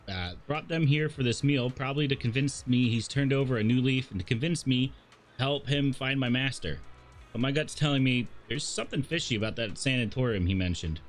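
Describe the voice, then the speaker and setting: A man's voice: medium pitch, lightly acted. A man voicing an easygoing, sly young game character with a casual, knowing manner. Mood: conspiratorial.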